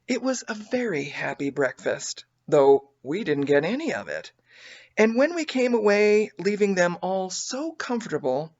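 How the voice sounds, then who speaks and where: A woman reading a children's story aloud as an expressive audiobook narrator. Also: lowish voice, muffled recording. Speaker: audiobook reader.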